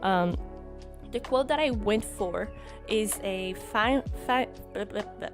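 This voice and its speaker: A young woman with a French Canadian accent, French her first language, narrating her journal drawing in a relaxed, rambling way with many ums. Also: medium pitch, takes it slowly. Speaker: journaling YouTuber. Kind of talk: voice-over narration of a drawing session. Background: background music.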